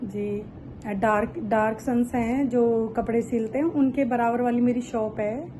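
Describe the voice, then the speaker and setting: A woman's voice: fairly high pitch, fairly even intonation, unhurried, mellow, a little hiss or hum, close to an everyday voice. A woman playing an aggrieved, guilt-tripping shopkeeper on a prank call, plaintive, insistent and a little hesitant. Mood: polite, insistent. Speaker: prank caller. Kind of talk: improvised prank phone call.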